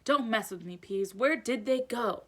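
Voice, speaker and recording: A woman's voice: on the high side, unhurried. A woman doing an impatient old caretaker. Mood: demanding.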